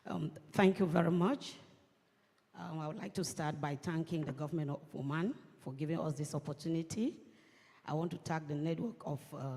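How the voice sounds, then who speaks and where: A woman from Cameroon, speaking as a panelist in measured, earnest phrases. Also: low-pitched, darkish tone. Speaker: panelist, women's organization coordinator. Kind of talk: conference panel remarks. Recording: long reverb of a large hall.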